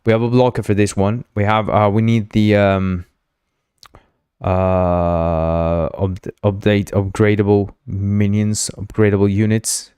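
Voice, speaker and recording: A man's voice: on the low side, level tone, takes it slowly, mellow, smooth, no echo. A man whose first language is Spanish, hosting a casual live coding stream, thinking aloud, repeating himself and playfully teasing his chat. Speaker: game developer streaming live.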